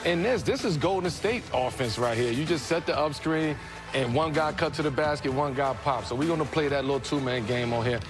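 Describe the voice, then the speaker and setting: A man's voice: on the high side, brisk. Man breaking down basketball over music. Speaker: basketball analyst on a halftime panel. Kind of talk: conversational sports analysis. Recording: music behind the voice.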